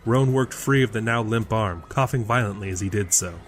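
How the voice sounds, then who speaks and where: A man's voice: fairly low pitch, a little fast, soft background music. A man reading scripted narration for a fantasy audio drama.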